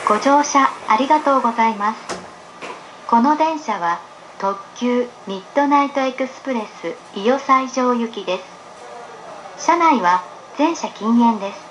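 A woman reading a scripted train announcement in a formal, polite, measured manner. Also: fairly high pitch, animated, a bit bright, rough recording. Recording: train speaker, faint background noise.

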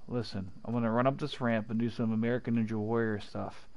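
A man's voice: medium pitch, flat delivery, fast talker, a little mellow. A man in his thirties, a father chatting casually while playing a video game with his young son, cracking jokes and mock-serious asides. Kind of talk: casual gameplay chat.

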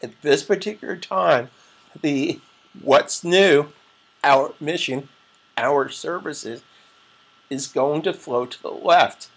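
Man, middle-aged, husky, gravelly, raspy strained voice, screen-recorded tutorial, patient explaining. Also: fairly high pitch, slow pace, pauses often. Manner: plain, patient step-by-step explaining with frequent "okay?". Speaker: web design instructor.

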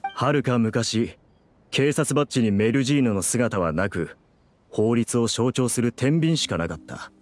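A man's voice: medium pitch, animated, a little slow, a little hiss or hum, lightly acted. An actor playing a chatty, gossipy but loyal policeman in a Japanese video game, casual and conversational.

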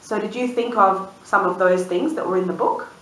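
A woman in her thirties reading a picture book aloud to young children, expressive and sing-song, drawing out words and putting questions to the listener. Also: medium pitch, normal speed. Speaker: children's storyteller and channel host. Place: indoors in a room, seated before a dark backdrop. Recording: roomy, distant microphone.

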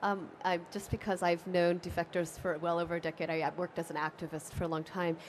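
A woman's voice: lowish voice, flat delivery, quick pace, a little hiss or hum. A woman activist on a panel, explaining earnestly at a table microphone. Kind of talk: panel discussion. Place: bookfair panel stage with an audience, indoors.

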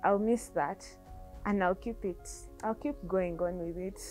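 A woman's voice: medium pitch, unhurried, darkish tone. A young woman speaks in an eager, searching way, stumbling over repeated words as she reflects. Her voice sits in the middle of the female range, picked up by a lapel mic outdoors with faint music underneath. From Uganda. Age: young adult. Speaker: coding student. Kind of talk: interview testimonial. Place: outdoor terrace.

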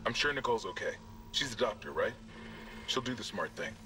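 An actor playing a commanding, pragmatic security officer on a video call in a sci-fi game. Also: male voice, fairly low pitch, expressive, brisk, sharp tone. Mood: reassuring. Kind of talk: naturalistic video game acting. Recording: slightly distant video call.

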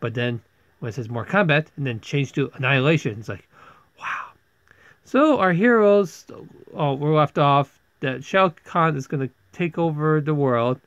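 A man's voice: fairly high pitch, expressive, moderate pace, fairly bright tone, no room sound. A man giving a casual, rambling movie review as a video host, exclaiming in exasperation and sometimes stammering over his words. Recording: dull, lo-fi close microphone.

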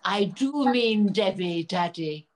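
An older woman playing a bumbling, dramatic pantomime Prince Charming in a theatrical, deliberate manner over a video call. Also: mid-range voice, expressive. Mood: bumbling, earnest. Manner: theatrical, alliterative, deliberate delivery.

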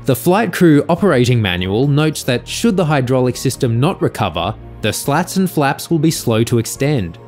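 Lively-pitched male explanatory narrator. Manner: measured, formal, factual. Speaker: video narrator. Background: faint music.

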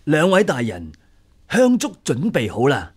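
A man's voice: a little high, wildly swooping pitch, measured pace, slightly rough, full character voice. A man with a Hong Kong Cantonese accent playing a deferential, superstitious exam hall attendant in a radio drama, respectful and obsequious.

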